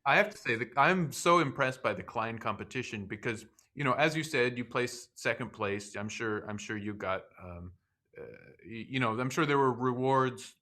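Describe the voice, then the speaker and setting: A man hosts an online interview over a video call. His voice comes through bright and forward. He is polite and hesitant, restarting his sentences often. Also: mid-range voice, moderate pace. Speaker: host.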